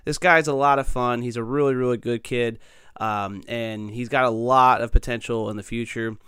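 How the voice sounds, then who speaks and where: Male podcast host, slightly raspy, friendly and chatty, dry close sound. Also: medium pitch, steady tone, normal speed, a bit bright, no echo. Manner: friendly, chatty, laughs often. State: slightly raspy, losing his voice. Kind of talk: casual podcast interview.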